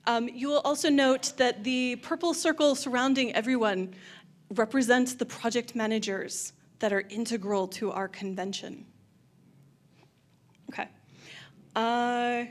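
A woman presenting on a handheld mic in a large hotel function room, upbeat and eager. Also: fairly high pitch. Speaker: convention presenter. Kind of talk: panel presentation. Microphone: handheld microphone.

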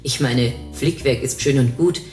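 Woman playing a low-voiced sarcastic wife. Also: a little slow. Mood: skeptical. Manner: deadpan, sarcastic. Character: dry, sarcastic, skeptical newlywed, bickering with her wife. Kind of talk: audio short-story dialogue. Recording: roomy echo, faint music.

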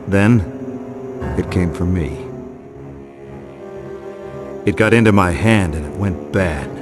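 An actor playing a weary, tough, wisecracking horror game hero, deadpan with dramatic pauses. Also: male voice, sits low. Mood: brooding, wry. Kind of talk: scripted first-person horror game narration. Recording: loud music behind voice.

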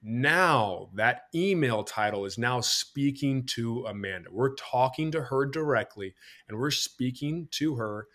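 A man in his thirties hosts a podcast from a bright room at home, heard over a video call. His voice sits at a middle pitch, and he walks listeners through ideas step by step, acting out what customers think. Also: expressive, measured pace. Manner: explains step by step, acts out customer thoughts. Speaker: podcast host. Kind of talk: teaching podcast talk.

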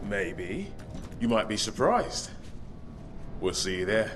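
A man's voice: fairly low pitch, very animated, takes it slowly, over music. An actor playing a wry, determined game survivor, casual and self-assured in his delivery. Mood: hopeful. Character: wry, determined male survivor who believes himself immune. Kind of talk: video game cutscene acting.

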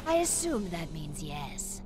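A woman's voice: fairly low pitch, very animated, slow. A woman playing an imperious, menacing female warrior in a video game, haughty and commanding. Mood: scornful. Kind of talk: video game fighting dialogue. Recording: dramatic music behind the voice.

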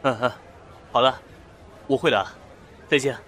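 A man's voice: medium pitch, steady tone, measured pace, a bit bright, slight background noise, lightly acted. An actor playing a polite, jumpy young man in a horror audio drama. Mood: puzzled, polite.

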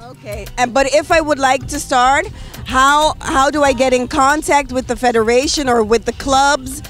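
A young woman with a very high voice asks curious, carefully built questions into a handheld mic. She stands outdoors at a race venue, with faint music behind her. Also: moderate pace, a bit bright. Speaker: sports show interviewer. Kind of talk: outdoor event interview.

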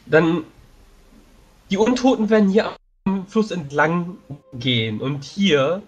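A man playing a tabletop game, planning a battle while thinking aloud with many pauses and fillers. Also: high-pitched, lively intonation, dull audio. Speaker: tabletop player.

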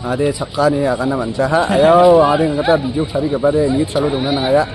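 A man casually commentating a football match from the sideline outdoors, shouting encouragement and laughing often. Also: on the high side, fairly even intonation, fairly quick. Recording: crowd noise at an outdoor match. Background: crowd.

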